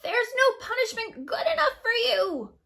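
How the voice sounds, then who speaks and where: A woman's voice: sing-song, vocal fry, sharp tone, exaggerated voice. A woman storyteller voicing a wicked old witch who screeches with spiteful rage and menace. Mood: furious. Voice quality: screeching, shrill. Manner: menacing, explosive, drawn-out cries.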